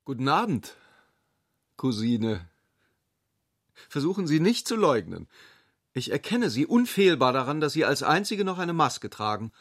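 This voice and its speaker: A man playing a formal, teasing gentleman in a literary radio drama, speaking in a declamatory way. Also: a little high, big pitch swings, unhurried, pauses often, dry recording, clean studio sound, heavily acted. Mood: teasing, challenging. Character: brisk, teasing gentleman cousin at a masquerade.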